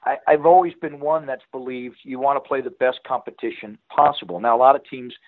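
A man giving a conversational sports interview over the phone, halting and repeating words. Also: medium pitch. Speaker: radio play-by-play announcer, interview guest. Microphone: phone call. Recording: tinny phone line.

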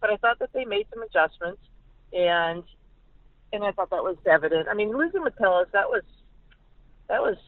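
A woman speaking as a sportswriter guest over a phone line, analysing football casually and haltingly, often repeating words. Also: medium pitch, expressive, no echo. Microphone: phone call. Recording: narrow, tinny phone line.

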